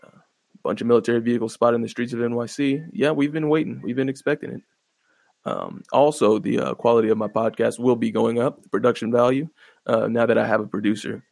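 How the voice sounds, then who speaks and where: Man, podcast host, monotone, gravelly, laid-back and profane, dry close mic. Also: medium pitch, fast, no room sound. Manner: laid-back, profane, rambling with many fillers. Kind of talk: casual solo podcast commentary. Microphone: close podcast microphone.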